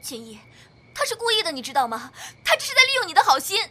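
A woman's voice: very high voice, huge pitch swings, fast, pushed and bright, full character voice. A woman playing a jealous, hot-tempered young girlfriend in a modern Chinese audio drama, with an emotional, accusing delivery.

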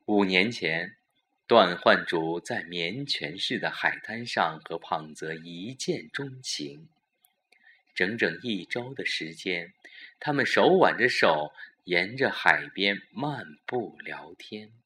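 A man giving a measured, dramatic scripted narration of a suspense story. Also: sits low, lively intonation, pushed and bright.

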